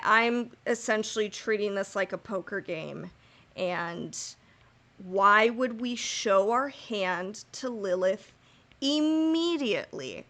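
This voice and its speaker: A woman co-hosting a casual podcast, musing slowly and playfully as she reasons out loud. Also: mid-range voice. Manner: slow, musing, playful guesses. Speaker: podcast co-host.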